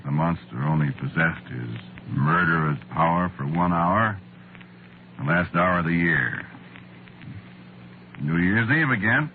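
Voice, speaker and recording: An actor playing a weary, meek, haunted man who confesses in a halting, deliberate way, in the style of 1940s radio drama narration. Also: low-pitched, expressive, slight background noise. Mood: ominous, quiet. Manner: hushed, deliberate, halting, conversational. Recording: muffled vintage radio recording, music behind.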